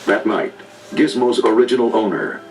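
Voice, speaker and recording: Low, animated storybook narrator. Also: male voice, moderate pace, noisy background. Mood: dramatic. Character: dramatic male storybook narrator. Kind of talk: dramatic scripted story narration for children. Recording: distant vinyl record over loud music.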